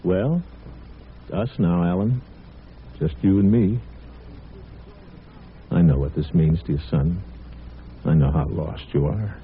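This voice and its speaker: Low-voiced radio father. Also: takes it slowly, long pauses. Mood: consoling. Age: middle-aged. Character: subdued middle-aged father, awkward and evasive. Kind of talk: 1950s radio drama acting. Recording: tinny, noisy vintage radio recording.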